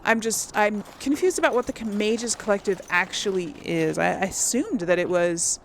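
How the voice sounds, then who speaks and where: Female playthrough host: mid-pitched, gravelly edge, hesitant thinking-aloud delivery, slight noise on a home recording. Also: moderate pace. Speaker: gaming video host. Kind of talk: casual game playthrough commentary.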